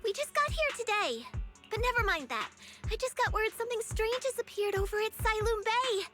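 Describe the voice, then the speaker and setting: An actress playing a small, caring, girlish senior disciple in an anime-style game, speaking in a lively, casual way. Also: extremely high pitch, moderate pace, over music. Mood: urgent, eager.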